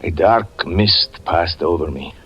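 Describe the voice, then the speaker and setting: A man playing a brooding magician who narrates his story in a 1940s radio drama, slow and solemn. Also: low voice, slight background noise. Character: brooding male magician and fortune teller narrating his past. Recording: dull 1940s radio broadcast with music.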